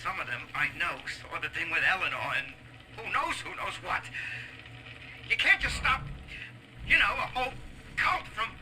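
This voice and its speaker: A cowardly, scheming video game weasel. His voice is sharp and forceful, swinging widely in pitch. He wheedles and slips around his words over a faintly hissy radio link. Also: lively intonation, normal speed, pauses often, full character voice, rough recording. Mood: anxious, muttering. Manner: wheedling, stammering, slippery. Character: shifty, scheming, cowardly male security-booth weasel.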